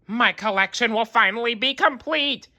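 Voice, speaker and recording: A man's voice: very high voice, moderate pace, sharp tone, voice pushed up, heavily acted. A man voicing a covetous, self-important male cartoon squid in a storybook read-aloud. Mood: eager longing.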